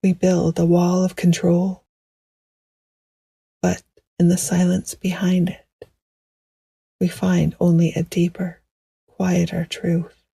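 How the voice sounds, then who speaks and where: Breathy, slow-speaking woman guiding meditation. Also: on the low side, monotone, no echo. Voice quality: breathy, soft, often near a whisper. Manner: gentle, hushed, unhurried, soothing. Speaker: meditation guide. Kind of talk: slow poetic guided meditation narration.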